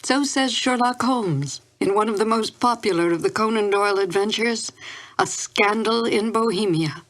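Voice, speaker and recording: An older woman introduces a programme in a mid-pitched voice. Her delivery is scripted and formal, with measured phrasing throughout. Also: slight background noise. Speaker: host, former radio actress. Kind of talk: scripted narration.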